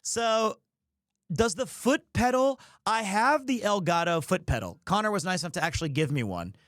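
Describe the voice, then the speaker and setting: A man's voice: high voice, lively intonation, moderate pace, fairly bright tone, no room sound, clean studio sound. A man hosting a livestream, talking casually and jokingly. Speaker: livestream host. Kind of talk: casual stream chat.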